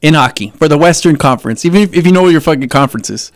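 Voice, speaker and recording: A man co-hosting a casual sports podcast, excitable and swearing a lot, his voice jumping up into a high squeak. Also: fast talker. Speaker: podcast co-host.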